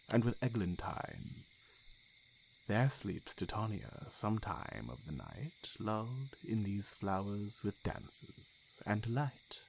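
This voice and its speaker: Commanding fairy king for a male actor: low, hugely varied, declamatory Shakespearean audio drama. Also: creaky, darkish tone, a little hiss or hum, full character voice, rough recording. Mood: hushed, scheming. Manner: theatrical, declamatory. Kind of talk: classical Shakespearean verse acting.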